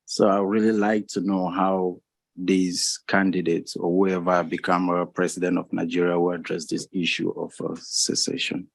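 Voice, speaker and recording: Deep raspy guy from cameroon, earnest panel question, measured, dry mic. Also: flat delivery, dry recording.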